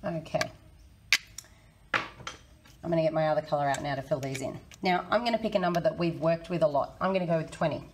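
A woman in her thirties with a croaky, hoarse voice, teaching a children's maths lesson in a friendly, encouraging way. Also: low voice, fast, pauses often, background noise. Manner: friendly, encouraging, talks to kids. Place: small indoor room.

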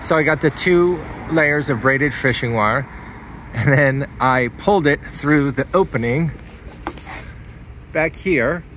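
A man giving a casual, unscripted hands-on walkthrough in short, halting phrases. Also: medium pitch, fairly bright tone. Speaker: hobbyist demonstrating an antenna installation. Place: outdoors beside a car in a residential driveway. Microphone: handheld phone filming the car. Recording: outdoor noise behind the voice.

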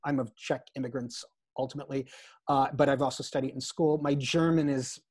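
A man's voice: mid-range voice, steady tone, brisk, a little mellow, breathy edge, no room sound, clean studio sound. Enthusiastic middle-aged man on video call. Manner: enthusiastic, hesitant starts. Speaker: guest lexicographer. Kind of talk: casual video-call chat. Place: home living room. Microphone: earbud headset on video call.

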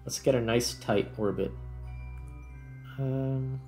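A man's voice: mid-range voice, slow pace, warm tone, soft background music. A man giving casual gameplay commentary, thinking aloud as he plays. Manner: thinks aloud while playing. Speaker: gaming video host.

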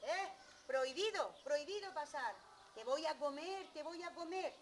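A woman's voice: expressive, a little slow, fairly bright tone. A woman voicing an ugly, wicked ogre in a children's tale, barking threats and commands. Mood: threatening. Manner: menacing, booming commands. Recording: noisy live storytelling recording.

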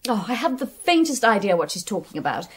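An actress playing a haughty, sharp-tongued young British society woman, brusque and snobbish, in a radio play. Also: mid-range voice, big pitch swings, quick pace, husky. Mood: indignant, scornful.

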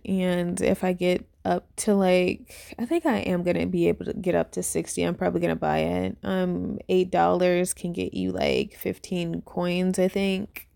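A woman in her twenties giving a casual, unscripted review in a rambling, informal way, with lots of fillers and heavy vocal fry. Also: on the low side, warm tone. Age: 20s. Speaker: YouTuber reviewing a webtoon. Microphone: voice-over on a phone screen recording.

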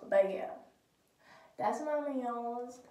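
A woman's voice: a little high, drawn-out words, dark tone, far from the mic. A young woman of about nineteen chatting casually and animatedly to camera as a vlogger, in an informal way. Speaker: vlogger and pageant contestant. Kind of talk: casual vlog chat to camera. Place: small indoor rooms. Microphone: phone or camera mic at a short distance. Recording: roomy, noisy, off-mic phone audio.

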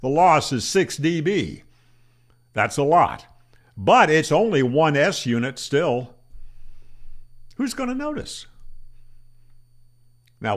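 An older man, a ham radio educator, explains antenna myths to camera in a matter-of-fact, debunking tone, asking himself rhetorical questions, speaking into a boom-arm microphone in a small room. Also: big pitch swings, slow pace, long pauses. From Florida. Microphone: studio microphone on boom arm.

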